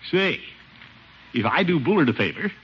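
An actor playing a blustering, scheming but good-hearted middle-aged uncle in a theatrical 1950s radio comedy style. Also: male voice, on the high side, sing-song, normal speed, breathy edge, full character voice. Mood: scheming, neighborly. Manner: blustery, theatrical, self-important. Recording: muffled, noisy vintage radio recording.

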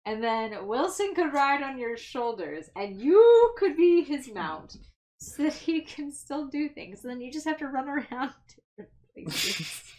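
A woman's voice: sits high, sing-song, unhurried, darkish tone. A woman playing a tabletop role-playing game, chatting casually in a playful, rambling way.

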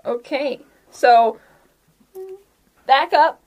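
A woman's voice: sits high, extremely slow, darkish tone, lo-fi sound. A woman hosting and narrating a tabletop game as game master, in a casual style. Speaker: game master and podcast host.

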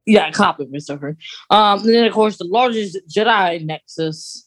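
A podcast co-host in casual fan chat, geeky and halting, getting animated when amused. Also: medium pitch. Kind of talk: casual fan chat about film lore.

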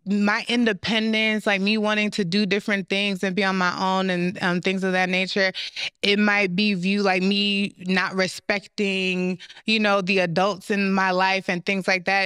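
A woman's voice: medium pitch, a bit bright, clean tone, no room sound, studio quality. A woman raised in the United States, a guest on a business podcast, talking in a chatty, thoughtful way with many fillers. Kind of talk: casual interview conversation.

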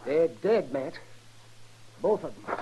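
An American actor playing a cantankerous, wry older frontier doctor in a radio western, with theatrical grumbling speech. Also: male voice, on the high side, expressive, slow, dull audio. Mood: grave. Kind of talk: 1950s radio western acting. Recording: noisy old radio recording with clicks.

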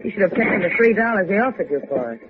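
A woman's voice: mid-range voice, lively intonation, rapid-fire, noisy background, natural voice. Muffled old radio recording, actress as teasing female partner, cool, wry delivery, 1950s crime serial. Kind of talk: 1950s radio drama acting.

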